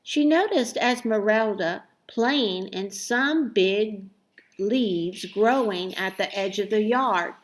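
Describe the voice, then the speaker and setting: A woman reading a children's story aloud expressively, with deliberate phrasing and emphatic stressed words. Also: mid-range voice. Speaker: storybook reader.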